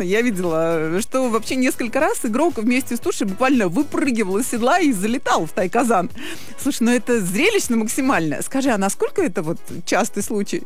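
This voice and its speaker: Woman, higher voice, animated intonation, curious radio co-host, conversational interviewing, light music behind. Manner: inquisitive, conversational.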